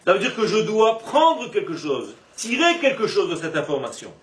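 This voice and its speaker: A man giving an animated religious lesson to a group, with rhetorical questions and drawn-out emphasis. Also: high-pitched. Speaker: religious teacher giving a Torah class. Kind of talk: lively spoken lesson to a live group. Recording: roomy echo, distant mic, faint noise.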